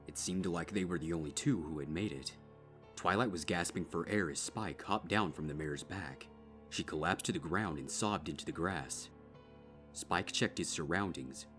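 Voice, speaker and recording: A man reading a dark horror story as a dramatic scripted narration. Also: very deep voice. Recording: music behind.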